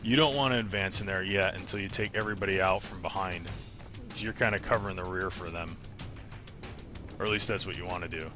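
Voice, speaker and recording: A man's voice: low-pitched, steady tone, a little fast. A man giving casual, step-by-step instructional commentary over a video game walkthrough. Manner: explanatory, step-by-step. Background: game gunfire and music.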